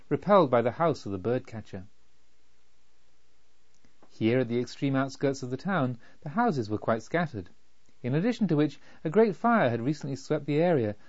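A man reading a literary story as a narrator, with measured, deliberate phrasing. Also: medium pitch, a little mellow. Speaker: audiobook narrator. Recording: slightly dull, lo-fi.

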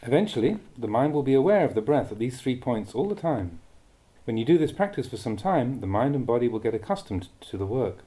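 A man reading a Buddhist meditation teaching aloud with measured, deliberate phrasing. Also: mid-range voice, sing-song, warm tone, husky. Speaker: Buddhist monk reading a translated dhamma talk.

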